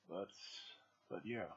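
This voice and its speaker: A man with a Swedish accent and a sore throat, speaking English as a second language in a hoarse, husky voice and giving a halting, casual update to his channel's viewers. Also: mid-range voice, fairly bright tone. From Sweden. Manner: halting, non-native phrasing with many restarts. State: sore throat and cold, voice deeper than usual. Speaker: YouTube storyteller. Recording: dull lo-fi home recording.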